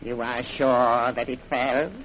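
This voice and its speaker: An actor playing a ruthless, commanding bandit chief in an old radio adventure serial, with a menacing, deliberate delivery. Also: male voice, mid-range voice, little pitch movement, a bit bright. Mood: stern, scheming. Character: ruthless, gloating male bandit chief plotting murder. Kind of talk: 1930s radio serial acting. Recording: muffled, noisy vintage radio recording.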